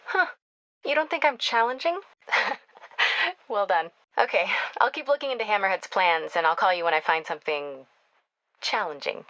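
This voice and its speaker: A woman's voice: mid-range voice, expressive, moderate pace, a bit bright, dry recording, natural voice. An actress playing a driven, caring young woman reporter in a video game, speaking in an earnest, conversational way. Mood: wry. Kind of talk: video game voice acting, natural phone-call dialogue.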